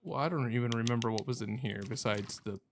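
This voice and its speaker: A man's voice: mid-range voice, fast talker. Male let's play streamer, mid-range voice, casual and unsure, talks through his thoughts, noticeable background noise. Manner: hesitant, self-questioning, rambling. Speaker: video game streamer playing and commenting. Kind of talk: casual let's play commentary, thinking aloud.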